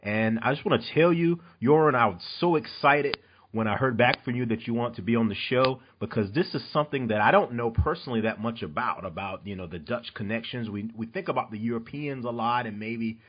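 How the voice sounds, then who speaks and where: A man's voice: mid-range voice, brisk, lo-fi sound. A man from North Carolina hosting an interview podcast, eager and chatty, often restarting his sentences. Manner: enthusiastic, with frequent restarts and fillers. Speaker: podcast host. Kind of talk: interview podcast, introducing a guest.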